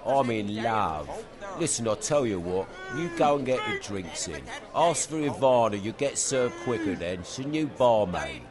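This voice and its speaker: A man's voice: lowish voice, sing-song, normal speed, crowd noise. An actor playing a dopey, girl-crazy secret agent in a comic radio play, rambling in a dreamy, laddish way. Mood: casual, smitten. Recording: music behind the voice.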